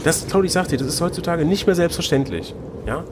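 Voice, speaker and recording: A man's voice: fairly high pitch, fast. A man acting as game master, reading a fantasy gamebook aloud and bantering casually. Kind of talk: storybook narration mixed with casual banter. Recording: noticeable background noise.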